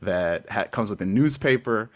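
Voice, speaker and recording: Low-voiced man hosting a casual podcast. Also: animated, moderate pace, no echo, muffled recording. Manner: laid-back, reads aloud with stumbles and restarts. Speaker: podcast host. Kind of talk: casual interview chat.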